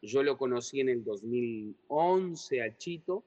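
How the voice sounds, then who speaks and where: A man's voice: mid-range voice, lively intonation, takes it slowly, mellow. Hesitant man, echoey muffled room. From Uruguay. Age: 40s. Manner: rambling and hesitant, with many repeated words. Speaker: writer and guest. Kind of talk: conversational interview.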